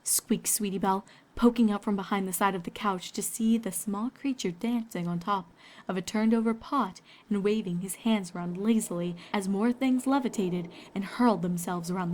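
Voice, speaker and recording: A woman storyteller reading scripted fiction aloud, with ambient noise behind her. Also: mid-range voice.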